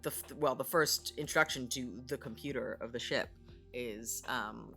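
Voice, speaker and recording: A woman's voice: rumbling low, moderate pace, gravelly, sharp tone. A woman co-hosting a casual discussion in a reflective, wry, conversational way. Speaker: co-host, voice actor. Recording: music playing underneath.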